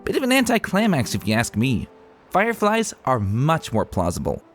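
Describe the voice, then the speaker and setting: A brash young pegasus mare, acted out by a man, chatty and teasing. The pitch is lifted and swoops around in a lively way. It plays as a storybook dramatic reading over music. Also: normal speed. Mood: musing, breezy. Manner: breezy, cheeky, chatty. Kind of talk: dramatic reading of fan fiction.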